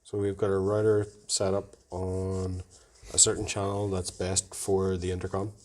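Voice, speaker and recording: Deep-voiced man, casual co-presenter. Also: completely flat delivery, normal speed, darkish tone. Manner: informal, agreeable, recapping. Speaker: co-presenter prompting and summarising. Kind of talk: casual conversational tutorial.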